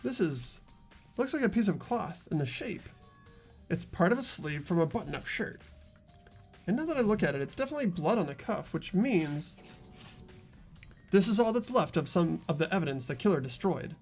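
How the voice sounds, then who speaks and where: A playthrough host reading a video game's narration aloud in an expressive storytelling way. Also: medium pitch, moderate pace, long pauses. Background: faint music.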